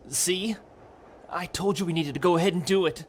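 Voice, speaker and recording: A man's voice: mid-range voice, unhurried, slight background noise, natural voice. A man playing an impatient, lovestruck teenage boy in a motion comic, earnest and pleading.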